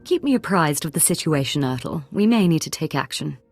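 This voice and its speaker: A polished political schemer from a space fantasy game. She speaks low and conspiratorially, savouring gossip, with soft music underneath. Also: animated, fairly quick, slightly rough, exaggerated voice. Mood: businesslike. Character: scheming, gossip-loving noblewoman political ally. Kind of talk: video game voice acting.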